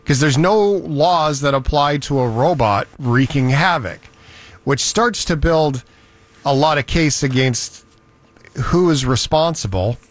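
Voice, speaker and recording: A man hosting a talk show, telling stories in a chatty, animated way. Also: a little high, measured pace. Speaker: show host. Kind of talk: casual talk-show banter.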